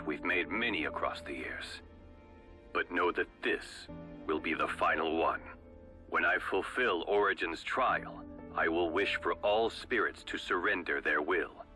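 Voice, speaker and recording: An actor playing a ruthless, commanding older company chief in a video game, grave, measured and grandiose. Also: male voice, deep voice, bright, forceful tone, full character voice. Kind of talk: video game cutscene acting. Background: faint background music.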